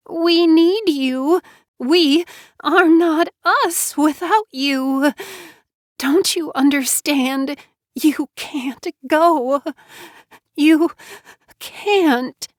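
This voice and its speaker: High raised voice, anguished young woman played by a female narrator, pleading, dramatic storybook acting, slow and desperate. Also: dry recording, clean studio sound. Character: distraught young woman pleading with a dying friend. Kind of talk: dramatic audiobook character acting.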